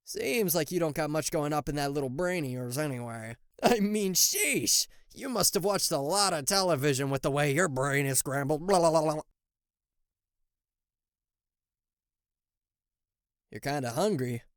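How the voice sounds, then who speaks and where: A man performs a sarcastic, cocky devil for a roleplay audio. His pitch swings widely as he teases and blusters theatrically, tripping into stammers. The recording is dry, with no room sound. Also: lively intonation, normal speed, few pauses, dry recording. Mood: teasing, indignant. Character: cocky, sarcastic male devil, a fallen angel, secretly fond. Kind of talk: roleplay audio acting addressed to the listener.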